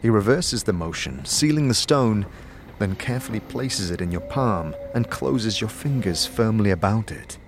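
A man narrating a fantasy audio drama in second person, in a steady, descriptive storytelling manner. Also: on the low side, moderate pace, slight background noise.

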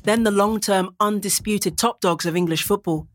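A woman's voice: medium pitch, moderate pace, faint music behind. A woman presenting a documentary in scripted narration, introducing contributors and linking their accounts.

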